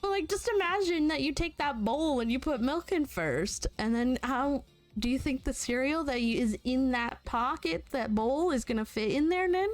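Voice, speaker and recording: A young adult woman hosting a relaxed interview, her voice a little high. She teases her guest playfully and laughs easily. Faint music sits behind her. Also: moderate pace. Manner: playful, teasing, laughs often. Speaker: interview host. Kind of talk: casual interview chat.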